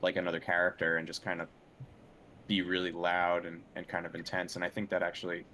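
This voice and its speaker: Man, very low voice, casual interview guest, hesitant, searching phrasing. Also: monotone, brisk, pushed and bright, a little hiss or hum. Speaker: interview guest, film and game composer.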